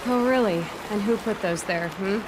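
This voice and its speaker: An actress as sarcastic young huntress heroine. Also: female voice, mid-range voice, normal speed, noisy background, close to an everyday voice. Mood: sarcastic, irritated. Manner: sarcastic, dry. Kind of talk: video game dialogue acting.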